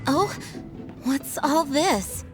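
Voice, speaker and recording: High-voiced dutiful young knight commander. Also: female voice, over music. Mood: surprised, touched. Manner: earnest, polite, measured. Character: dutiful, modest young woman knight commander, gentle and formal. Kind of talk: anime video game voice acting.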